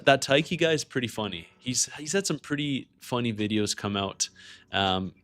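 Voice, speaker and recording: Low-voiced man. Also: a bit bright. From Canada. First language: French. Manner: conversational, greets and answers chat. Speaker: livestream host. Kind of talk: casual livestream market commentary.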